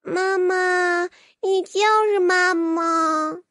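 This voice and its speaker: A tiny magical creature for a fantasy audiobook. The voice is extremely high, childlike and squeaky, with a whiny edge, recorded very dry. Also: female voice, little pitch movement, extremely slow, a bit bright, no echo, heavily acted. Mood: pleading, clingy. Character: tiny childish fairy and pleading little magical bug creature. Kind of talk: audiobook character acting.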